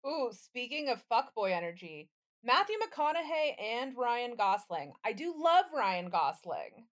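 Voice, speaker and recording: A woman's voice: fairly high pitch, very animated, unhurried. A woman co-hosting a casual comedy podcast, talking in a blunt, sardonic and sweary way. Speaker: podcast co-host.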